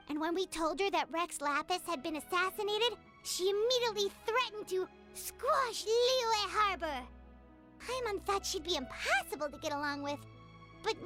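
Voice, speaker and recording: A woman's voice: very high voice, bright, forceful tone, exaggerated voice. A woman voicing a tiny chatty female fairy companion who is cheerful and bossy and talks about herself in the third person, in game cutscene dialogue. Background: background music.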